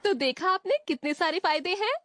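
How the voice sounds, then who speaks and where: A woman's voice: very high voice, animated, a little fast, no room sound. A woman voicing an eager young woman in a cartoon dub, pleading and persuasive. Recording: cartoon soundtrack with loud music.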